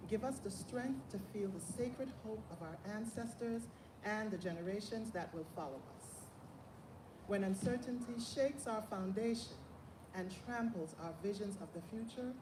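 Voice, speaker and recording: A woman leading a solemn prayer, reading it out in measured phrases. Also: mid-range voice. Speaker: prayer leader. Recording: distant, echoey, noisy event recording.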